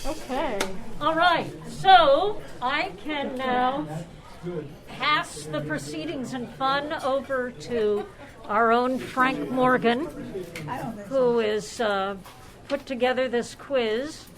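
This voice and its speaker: A woman's voice: high voice, slow pace. A woman hosting an event, making casual announcements and chatting with the room. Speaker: event host. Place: busy club luncheon in a large room. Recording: live in a noisy crowded room. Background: loud crowd chatter.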